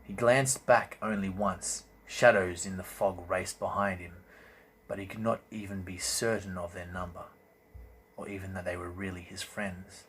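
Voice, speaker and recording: Male fantasy audiobook reader, deep register, theatrical and deliberate delivery, amateur recording with slight background noise. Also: fairly even intonation, fairly bright tone, poor audio. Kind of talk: dramatic scripted narration of a fantasy novel.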